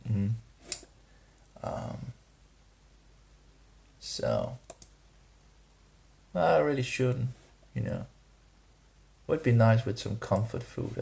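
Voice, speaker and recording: A man rambles in a casual vlog monologue with a somewhat low, gravelly voice. He talks slowly and haltingly, with many fillers and restarts. Now and then he grumbles in disapproving asides. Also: darkish tone. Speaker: vlogger.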